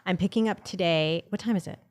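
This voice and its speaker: A woman's voice: lowish voice, fast talker, dry recording. A woman hosting a casual podcast chat, blunt and joking, swearing freely, talking into a mic held off to the side. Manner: blunt, swears, jokes and laughs a lot. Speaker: podcast host. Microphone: studio mic held off to the side.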